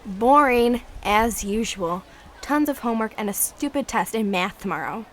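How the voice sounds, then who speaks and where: A woman's voice: on the high side, lively intonation, normal speed. Sassy, sarcastic schoolgirl played by a young female. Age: child or teenager. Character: sporty, sarcastic schoolgirl with quick comebacks. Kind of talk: amateur radio drama acting.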